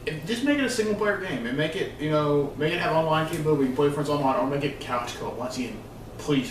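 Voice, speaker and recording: A man chatting casually and unscripted about his opinions as a video co-host, rambling and emphatic. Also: mid-range voice. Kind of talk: casual unscripted gaming discussion. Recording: roomy, distant mic, faint noise.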